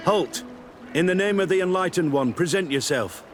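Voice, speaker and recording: Formal, devout city guard. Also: male voice, high-pitched, expressive, normal speed, soft background music. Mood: commanding. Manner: official, ceremonious. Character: dutiful, formal male city guard of holy order. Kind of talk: fantasy video game acting.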